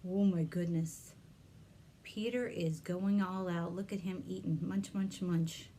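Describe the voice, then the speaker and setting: A woman's voice: fairly low pitch, steady tone, takes it slowly, mellow, slight background noise. A woman hosting a children's storytime as a librarian, reading expressively and addressing young listeners with questions and exclamations.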